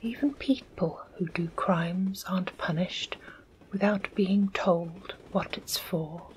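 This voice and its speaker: An anxious, earnest young schoolboy from a storybook, pleading his case in a hushed whisper, as a woman reader puts him on. He speaks slowly and sincerely, a touch lower than a girl. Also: slight background noise, poor audio.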